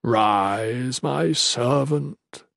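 A man's voice: on the high side, expressive, drawn-out words, creaky, no echo, clean studio sound, voice pushed up, full character voice. A man voicing a glorious, benevolent god in a fantasy audiobook, making solemn proclamations in a kind, reassuring voice. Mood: solemn benevolence.

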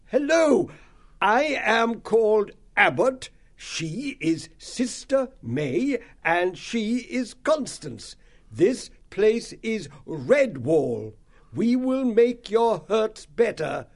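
A man voicing a frail, kindly old male abbot mouse who speaks gently and stammers a little. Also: high voice, big pitch swings, takes it slowly, husky, pitched above the performer's own voice, exaggerated voice. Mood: welcoming. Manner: gentle, mildly stammering, wondering. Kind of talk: audiobook character voice.

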